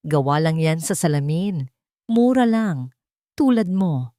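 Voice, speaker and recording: A proud rich man from an audio romance, voiced by a woman storyteller. He speaks calmly and firmly with a sharp edge. The voice is low for a woman and recorded dry. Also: takes it slowly, dry recording. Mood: cold, cutting. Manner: calm with a sharp edge, firm. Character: calm, cutting, proud blind rich man.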